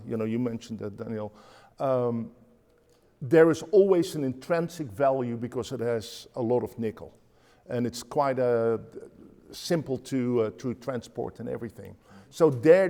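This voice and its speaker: A man's voice: medium pitch, vocal fry, warm tone, big-room sound. A man from the Netherlands with a Dutch accent, speaking on a conference panel, hesitant with many fillers and restarts.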